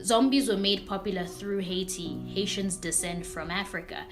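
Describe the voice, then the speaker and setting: Female social video creator, native Kikongo speaker, earnest explainer over clear background music. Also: medium pitch, normal speed. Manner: earnest, emphatic.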